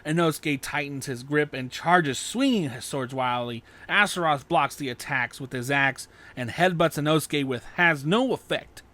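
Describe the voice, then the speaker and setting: A man's voice: fairly high pitch, moderate pace, few pauses, bright, forceful tone. A man narrating scripted fan fiction as an audiobook.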